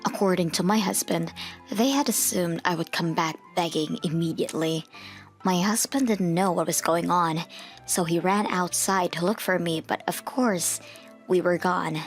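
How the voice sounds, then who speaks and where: Casting: female narrator, lowish pitch, scripted first-person comic storytelling, music underneath. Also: normal speed. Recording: music behind the voice.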